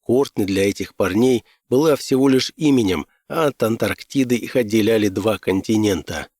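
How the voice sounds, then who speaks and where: A man's voice: fairly low pitch, normal speed, a little mellow, no room sound, studio quality. A man reading fiction aloud as a scripted audiobook narrator.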